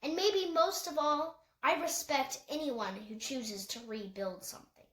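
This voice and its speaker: A woman voicing a wise, principled older woman with a measured, thoughtful manner in an audiobook story. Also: high voice, fairly bright tone, reverberant. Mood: firm. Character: wise, calm, principled older woman, firm yet gracious.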